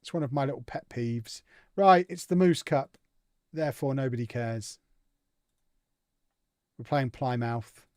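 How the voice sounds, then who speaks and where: A man's voice: mid-range voice, measured pace, long pauses, no echo. A man chats casually through a live game stream, his voice sitting in an everyday middle register. He reacts to viewers with playful exasperation. The sound is dry and close, with no room around it. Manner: chatty, reads viewer comments aloud and reacts with amused indignation. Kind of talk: casual live-stream chat while playing.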